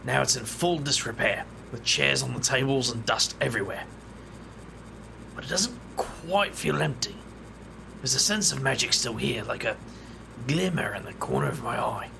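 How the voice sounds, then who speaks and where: A man playing a gravelly, wisecracking hero. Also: mid-range voice, expressive, normal speed, noisy background. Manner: dry and wisecracking, narrates his own actions. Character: wry young man, a magic-wielder. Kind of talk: tabletop roleplay in character.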